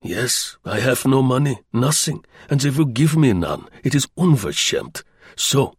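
A man voicing a podgy, aggrieved agent in an audiobook, with an emphatic, slow, pleading delivery. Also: mid-range voice, lively intonation, husky, dry recording, studio quality. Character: podgy, aggrieved, wheedling male German agent.

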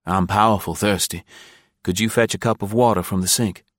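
A man's voice: lowish voice, steady tone, fairly quick, fairly bright tone, dry recording, close to an everyday voice. A plucky young captive played by a male audiobook narrator talks in folksy, wheedling phrases. He sounds playful on the surface with desperate appeal underneath, in a dry, close recording. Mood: pleading playfulness. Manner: folksy, cajoling. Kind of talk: audiobook character acting.

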